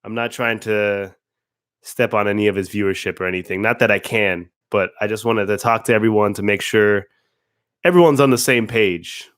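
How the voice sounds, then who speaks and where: A man with a raspy voice from a cold, hosting a casual livestream, greeting viewers by name and restarting words as he talks. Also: lowish voice, normal speed, a bit bright, no room sound, studio quality. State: hoarse from a cold. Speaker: livestream host.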